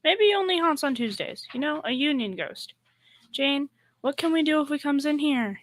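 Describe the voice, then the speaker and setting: Young woman doing a scatterbrained scaredy roommate, high voice, old radio sitcom style, dry sound. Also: lively intonation, moderate pace, dry recording. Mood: naive, earnest. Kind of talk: old-time radio comedy acting.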